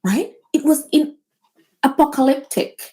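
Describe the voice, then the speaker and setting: Mellow-voiced woman, earnest guest. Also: sits high, unhurried. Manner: earnest, motivational, slightly non-native grammar. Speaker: business owner, guest. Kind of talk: casual interview chat.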